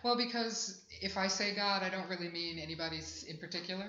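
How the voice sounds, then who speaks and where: Chatty woman in her sixties, bright voice. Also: on the low side, fairly quick. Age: 60s. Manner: chatty, warm and reflective, rambling. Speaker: singer-songwriter guest. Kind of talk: casual interview at an outdoor festival. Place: festival grounds. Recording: echoey, dull live field recording.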